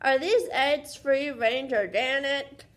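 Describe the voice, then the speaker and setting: Storybook grumpy he-bear played by a woman reader: stern, curt, slow delivery. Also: sits high. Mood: grumbling.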